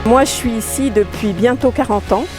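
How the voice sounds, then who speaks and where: Older woman lock keeper, slightly high voice, reflective testimony over faint music. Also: normal speed. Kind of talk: interview testimony.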